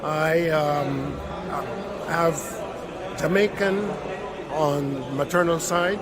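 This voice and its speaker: Older man, halting delivery, slightly high; heavy crowd. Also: fairly even intonation.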